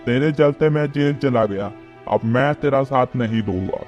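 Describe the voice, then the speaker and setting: A man's voice: medium pitch, normal speed, darkish tone, highs cut off, faint music behind, natural voice. A man playing a short-tempered, scolding disgraced village headman in a comic Hindi cartoon. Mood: resentful. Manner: scolding, exasperated, blustering.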